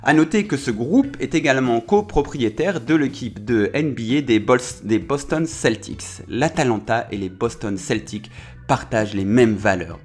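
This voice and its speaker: A man's voice: lowish voice, darkish tone. A man hosting a casual football video, talking in a chatty way with many fillers and self-corrections.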